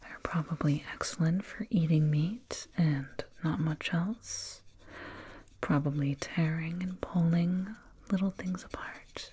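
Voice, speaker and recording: A woman voicing a soothing, condescending alien dentist in a breathy whispered ASMR roleplay, close to a binaural microphone. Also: sits low, warm tone. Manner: slow, soothing, murmured repetitions. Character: condescending, soothing alien dentist, fussing over a little human.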